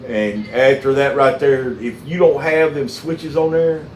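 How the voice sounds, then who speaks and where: Man, Southern US accent, casual chat, folksy, halting, echoey room, faint noise. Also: medium pitch, level tone. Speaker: mechanic and channel host. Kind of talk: casual phone chat with storytelling.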